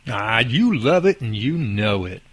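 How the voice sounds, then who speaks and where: A man's voice: medium pitch, very animated, a little slow, a bit bright, slightly rough, no room sound, full character voice. A man acting as a goofy, teasing and earnest young husband in a radio drama, with an exaggerated delivery. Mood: playful.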